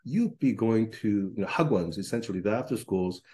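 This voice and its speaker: A middle-aged man from Korea talks as a guest in a relaxed interview. His voice sits a little low, and he picks his way through his thoughts with frequent ums and self-corrections. The delivery is measured and reflective. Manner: thoughtful, hedging with many ums and restarts. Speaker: professor and podcast guest. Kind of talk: conversational interview.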